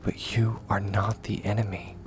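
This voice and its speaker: A man playing a gentle, steadfast young swordsman mentor in a comfort ASMR roleplay, speaking softly and reassuringly, at times close to a whisper. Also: fairly low pitch, flat delivery, over music, lightly acted, low-quality recording. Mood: gentle, encouraging. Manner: soft, reassuring, measured, often near whisper.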